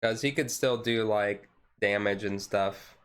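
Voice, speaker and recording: A man's voice: on the low side, measured pace, a bit bright, clean tone. A man streaming a casual, laid-back ASMR chat in a rambling way, with music behind him.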